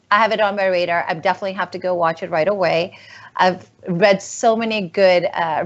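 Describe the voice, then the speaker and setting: A woman hosts an interview in a chatty, casual way, filling her questions with "like" and "you know". Her voice sits in a middle pitch. She comes through a dull, lo-fi video call. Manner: chatty, with many likes and you-knows. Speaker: interview host.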